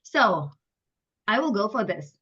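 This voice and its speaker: A woman improvising a short speech to a group, halting, with non-native phrasing, on muffled lo-fi audio. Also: mid-range voice, very animated, a bit bright, slightly rough. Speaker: workshop participant in a role-play. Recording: dull, lo-fi audio.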